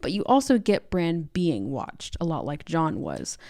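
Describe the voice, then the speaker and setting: A woman's voice: low voice, big pitch swings, measured pace, a little mellow, no echo, studio quality. A woman co-hosting a podcast, talking through a book in a casual, reflective and conversational way. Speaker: podcast co-host.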